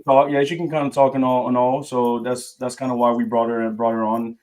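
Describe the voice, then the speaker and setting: A man co-hosting a casual podcast, talking sports and debate in an animated way and saying "bro" a lot. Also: mid-range voice, fairly quick, low-quality recording. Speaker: podcast co-host.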